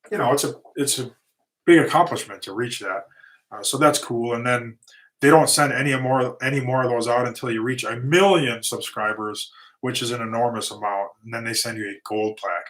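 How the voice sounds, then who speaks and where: A man's voice: mid-range voice, moderate pace, fairly bright tone, low-quality recording. A middle-aged man casually answering viewer questions on his livestream, easygoing and rambling, his voice tiring. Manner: easygoing, rambling with restarts and fillers. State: voice getting tired and going. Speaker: livestream host, lawn and concrete business owner.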